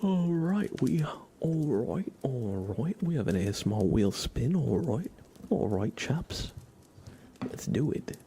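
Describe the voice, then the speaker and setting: A man hosting an ASMR livestream, talking softly and intimately to his chat and often slipping into whispers, close to the microphone. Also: mid-range voice, sing-song, slight background noise. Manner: gentle, drawn-out delivery slipping into whispers.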